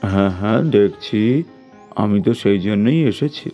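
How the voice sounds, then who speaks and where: A male storyteller plays a businesslike, money-minded family doctor in a cartoon tale. The voice is dark and mellow over faint music. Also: on the low side, animated, measured pace. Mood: brisk, businesslike.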